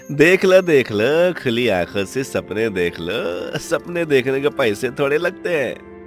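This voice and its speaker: A man plays a teasing village buddy in a cartoon story, full of mocking warnings. He draws his words out slowly, over faint background music. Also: mid-range voice, sing-song, voice pushed up. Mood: teasing, amused. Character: Sundar, teasing, cautioning village friend of the blacksmith. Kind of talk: Hindi cartoon story dialogue.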